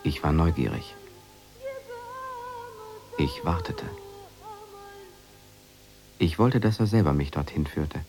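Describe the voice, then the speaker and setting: An actor playing a brooding, quietly guilty expatriate husband, narrating a radio drama in a measured, reflective, subdued way with a gravelly voice. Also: male voice, extremely low pitch, wildly swooping pitch, over music, exaggerated voice. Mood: reflective, restrained.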